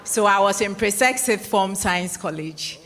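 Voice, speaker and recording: A woman in her forties from Ghana, moderating a public lecture in a large hall, summing up the talk in a measured, formal way before opening the floor. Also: medium pitch, fairly bright tone. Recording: echoey hall with long reverb.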